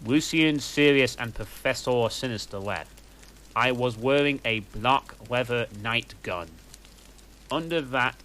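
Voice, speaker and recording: A man reading fan fiction aloud in a deadpan, mocking way, even reading out the punctuation. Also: medium pitch, a little hiss or hum, rough recording. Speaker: reader.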